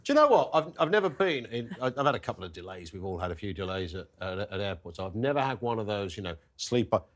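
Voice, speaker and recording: British bloke on a chat panel, jokey n blunt, quick, voice swings around. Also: male voice, on the low side, big pitch swings. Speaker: panellist on a news discussion show. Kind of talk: casual banter.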